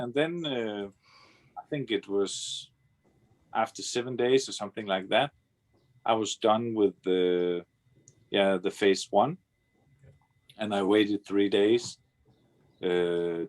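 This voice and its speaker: Low-voiced Danish man, halting English. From Denmark. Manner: hesitant, many fillers, chuckles now and then. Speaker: guest. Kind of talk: casual video call interview. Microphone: video call.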